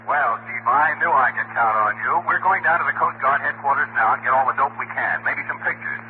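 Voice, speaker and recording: An actor playing a dogged, wisecracking newspaper photographer in 1940s radio style, urgent and insistent, on an old scratchy broadcast recording. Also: male voice, mid-range voice, brisk, phone-quality sound, close to an everyday voice. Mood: wry, confident. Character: brash, dogged male newspaper crime photographer.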